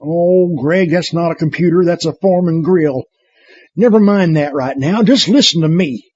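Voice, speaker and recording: A man's voice: fairly high pitch, normal speed, a little mellow, breathy edge. A man playing a folksy, exasperated political adviser with a drawl in a comedy audio drama. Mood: exasperated, urgent. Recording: dull lo-fi, faint music behind.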